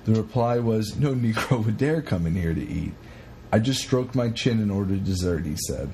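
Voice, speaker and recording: A man co-hosting a podcast, chatting casually in an animated, rambling, sweary way as he retells stories. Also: fairly low pitch, moderate pace, slight background noise. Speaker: podcast co-host. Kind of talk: casual chat retelling a historical story.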